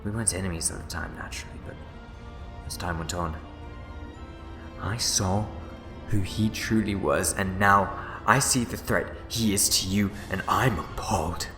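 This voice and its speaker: A man's voice: low-pitched, normal speed. A man playing a smug, scheming time-travelling villain in an audio drama, speaking theatrically. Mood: grave storytelling. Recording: roomy echo, loud music behind.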